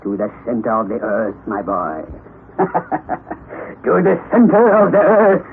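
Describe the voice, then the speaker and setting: An actor playing an eager, excitable older professor in an old-time radio drama, by turns muttering and exclaiming. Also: male voice, mid-range voice, slow pace, creaky. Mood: gleeful. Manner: hesitant, muttering, then exclaiming. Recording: noisy, tinny vintage radio recording.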